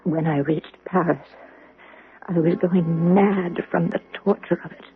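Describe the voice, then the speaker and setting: An actress playing a naive, warm-hearted young artists' model in an earnest, emotive 1970s radio drama style. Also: female voice, fairly low pitch, fairly even intonation, unhurried. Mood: grieving, wistful. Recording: muffled, husky-sounding old radio recording.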